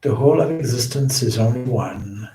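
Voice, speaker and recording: A man leading a guided meditation as a swami, with measured, solemn phrasing and frequent pauses. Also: mid-range voice, animated, crowd noise. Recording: roomy, echoing indoor sound.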